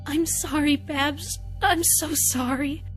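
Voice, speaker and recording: A woman voicing a caring, professional female pony doctor with a soft, consoling manner. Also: extremely high pitch, unhurried, soft background music, pitched above the performer's own voice. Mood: consoling, distressed.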